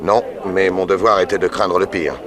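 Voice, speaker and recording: A low, deep voice belongs to a cool, worldly detective played by a man. He speaks with dry self-assurance and calm authority, in the manner of 1960s French radio drama. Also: normal speed, a crowd in the background, close to an everyday voice. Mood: matter-of-fact.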